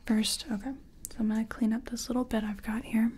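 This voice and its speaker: A woman doing a soft-spoken ASMR roleplay as a makeup artist, whispery and breathy, murmuring hesitantly as if half to herself. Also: a little high, level tone, brisk, slight background noise.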